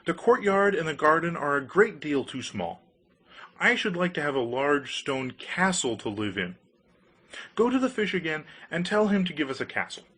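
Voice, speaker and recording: A man's voice: on the high side, very animated, moderate pace, fairly bright tone, slightly rough, exaggerated voice. A man voicing a demanding, ambitious fisherman's wife in a storybook, insistent and imperious.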